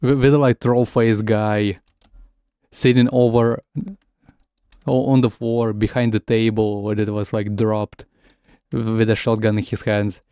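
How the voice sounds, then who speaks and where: A man's voice: on the low side, little pitch movement, slow, pauses often, dark tone, clean tone, no room sound, clean studio sound. A man whose first language is Russian, chatting casually while streaming a game, often stumbling and repeating words. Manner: switches between English and Russian. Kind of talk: casual gaming stream chat.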